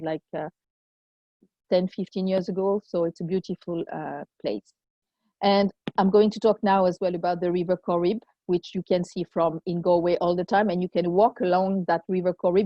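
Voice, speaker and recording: Woman with a low, muffled voice. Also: mellow, no room sound. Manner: hesitant, many fillers. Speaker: volunteer giving a city tour. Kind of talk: informal online presentation. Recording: dry, muffled video call audio.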